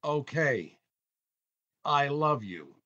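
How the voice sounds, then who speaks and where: An exasperated, long-suffering older family man scolds theatrically, played by a male actor. He drags out his words with big pitch swings, in a slightly dull old-time radio comedy recording. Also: big pitch swings, extremely slow, pushed and bright, full character voice. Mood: grudging. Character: exasperated, long-suffering grumbling husband and father.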